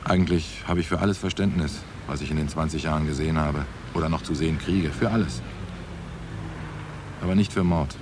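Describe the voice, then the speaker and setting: Deep-voiced, world-weary male detective. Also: brisk, noisy background. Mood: weary, reflective. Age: middle-aged. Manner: reflective, plain-spoken, wry. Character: seasoned, world-weary, tolerant New York police detective. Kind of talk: radio play narration and dialogue.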